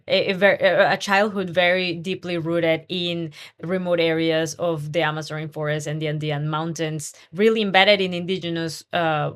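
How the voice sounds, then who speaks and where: A woman's voice: lowish voice, fairly bright tone. A woman from Peru, a scientist guest on a podcast, talking earnestly and passionately, often restarting her sentences. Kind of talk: podcast interview conversation.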